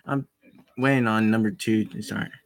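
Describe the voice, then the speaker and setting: A gaming host doing a casual let's play, reading visual novel text aloud in a theatrical, halting way and repeating words. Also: medium pitch, fairly even intonation. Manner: theatrical, puts on voices while reading.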